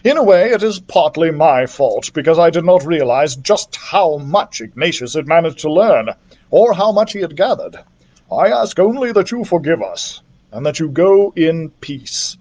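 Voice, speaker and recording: A wise, kindly older male mentor from a storybook, voiced by a man reader. He is measured and gently instructive, with expressive rises and falls in pitch. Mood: solemn.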